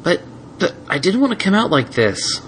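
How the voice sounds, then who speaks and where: A man's voice: high-pitched, very animated, normal speed, a bit bright, slight background noise, voice pushed up. Male reader as a nervous, stubborn young stallion; earnest, stammering Southern drawl. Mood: pleading. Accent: Southern US drawl, put on.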